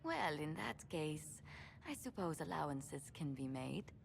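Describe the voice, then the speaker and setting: A woman's voice: low voice, sing-song, a little slow, vocal fry, background noise, full character voice. An actress voicing an elegant, courteous lady diplomat in a video game, affectionate and formal in her phrasing. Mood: delighted, fond. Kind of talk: video game cutscene dialogue.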